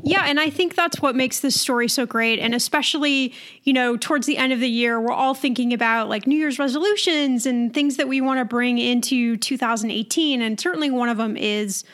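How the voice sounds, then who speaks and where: A woman's voice: fairly high pitch, brisk, fairly bright tone. A young American woman co-hosting a show, talking in a casual, conversational style. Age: young adult. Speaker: podcast co-host. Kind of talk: casual chat.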